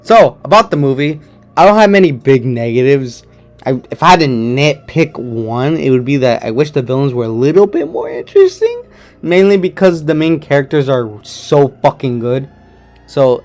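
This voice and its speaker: A man with a slightly hoarse voice and occasional voice cracks, giving a chatty, rambling movie review at home. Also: medium pitch, a little mellow. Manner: chatty, rambling, enthusiastic opinions. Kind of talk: casual non-spoiler movie review.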